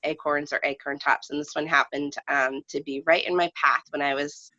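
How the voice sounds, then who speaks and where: A woman telling a personal story in casual conversation, speaking in a halting, reflective way with trailing phrases. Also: low-pitched, flat delivery, pushed and bright. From United States, lives in New England. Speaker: guest storyteller. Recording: dull, roomy lo-fi recording.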